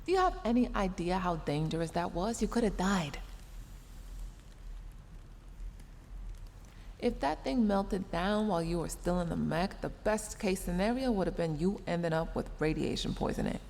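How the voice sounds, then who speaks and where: A woman's voice: fairly low pitch, expressive, fairly quick, gravelly, hardly any pauses, darkish tone, long reverb tail, a little hiss or hum. A woman voicing a tsundere mech engineer in a sci-fi audio roleplay, sarcastic and exasperated, scolding while secretly caring. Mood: alarmed, angry. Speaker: roleplay voice performer.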